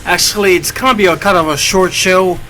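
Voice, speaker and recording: A man in his late thirties hosting an internet radio show, talking off the cuff in a casual, halting monologue. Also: sits high, fairly even intonation, pushed and bright, faint music behind. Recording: clear background noise.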